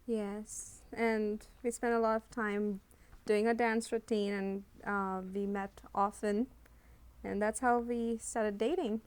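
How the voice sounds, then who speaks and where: A woman's voice: medium pitch, level tone, slow pace, clear, resonant tone. Young woman from india, student, reflective interview talk, lots of you know. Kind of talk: personal testimony in a documentary interview.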